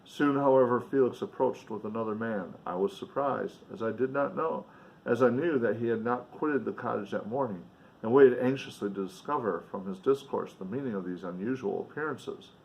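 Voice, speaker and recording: A man's voice: medium pitch, moderate pace, gravelly, darkish tone, rough recording. A man reading a novel aloud in a dramatic, emphatic way, with casual offhand commentary along the way. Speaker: reader and commentator.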